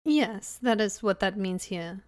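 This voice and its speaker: A woman's voice: a little high, animated, takes it slowly. A woman playing a composed country-club widow in a put-on transatlantic lilt, clipped and prim.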